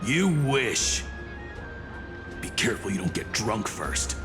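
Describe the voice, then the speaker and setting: A man voicing a proud, loyal warrior in a video game, formal and quick to challenge. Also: fairly low pitch, very animated. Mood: taunting. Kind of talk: anime-style video game voice acting. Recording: loud music behind.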